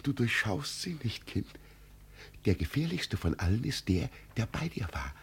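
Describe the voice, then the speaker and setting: An actor in a 1950s German radio play playing a mad old father who believes himself an emperor, pleading and solemn in a husky, creaky voice. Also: fairly low pitch, sing-song, normal speed, exaggerated voice. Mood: urgent warning. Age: older. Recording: vintage 1950 radio recording.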